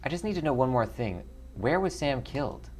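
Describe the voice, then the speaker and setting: A man's voice: medium pitch, very animated, brisk, darkish tone, voice pushed up, close to an everyday voice. Man as a cool, sardonic game investigator. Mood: inquiring. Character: cool, loyal newcomer investigator, game's player hero.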